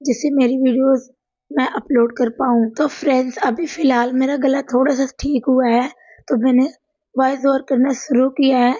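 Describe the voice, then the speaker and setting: A hoarse woman with a sore throat narrates a home remedy demo in a chatty voice-over, talking to viewers as friends. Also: high voice, level tone, moderate pace, mellow, dry recording, lo-fi sound. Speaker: cooking and home remedy channel host. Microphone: voice-over recorded apart from the video.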